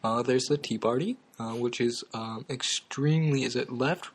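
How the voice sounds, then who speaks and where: Picture a young man with a mid-pitched, ordinary voice, hosting a mock talk show. He stumbles through every sentence with ums and uhs, keeping a straight face however absurd things get. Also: breathy edge. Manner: halting, full of ums and uhs. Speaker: comedy talk show host. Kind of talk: absurdist deadpan comedy chat.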